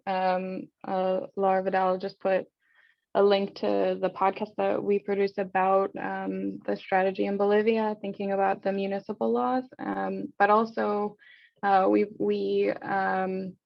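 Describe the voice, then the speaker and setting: A woman casually moderating an online discussion, informal, with frequent hesitant pauses, on a lo-fi call. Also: medium pitch, little pitch movement, vocal fry. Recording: dull, lo-fi online call audio.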